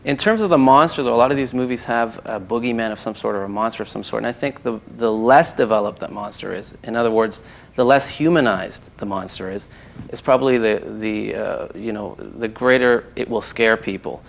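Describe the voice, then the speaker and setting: A man's voice: lowish voice, a little fast, creaky. A man speaking as a guest panelist in a conversational film discussion, thinking aloud as he goes.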